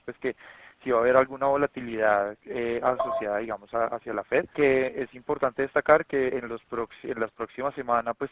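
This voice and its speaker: A man giving a semi-prepared market briefing as an analyst, speaking haltingly with many filler words and repetitions. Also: medium pitch, a bit bright, no echo, poor audio. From Colombia. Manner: halting, with frequent verbal tics and repeated words. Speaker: market analyst on an investor conference call.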